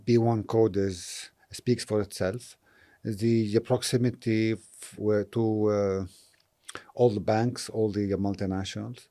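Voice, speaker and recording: Lebanese guy, middle-aged, lowish mellow voice, thinks out loud with lots of uhs. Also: steady tone, slow. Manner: thoughtful, hesitant with frequent fillers. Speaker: property investor, interview guest. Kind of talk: casual interview answers.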